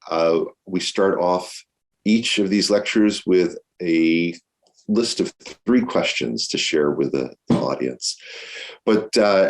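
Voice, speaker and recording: Low voiced guy hosting a zoom lecture, thankful, lots of ums and uhs. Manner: warm, grateful, with many fillers. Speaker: lecture series host. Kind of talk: introducing a guest speaker. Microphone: video call.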